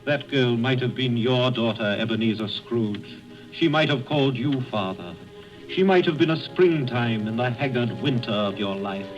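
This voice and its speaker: An actor playing a grave, commanding spirit guide in a vintage radio drama, speaking in measured phrases. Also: male voice, medium pitch, lightly acted. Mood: solemn, stern. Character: solemn, relentless male ghostly spirit guide. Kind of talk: 1930s radio acting. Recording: muffled vintage radio broadcast, faint music.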